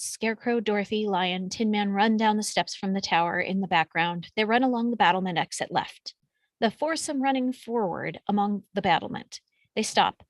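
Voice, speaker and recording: A woman's voice: mid-range voice, no room sound. A woman reading out screenplay action descriptions as scripted narration. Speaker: stage directions reader.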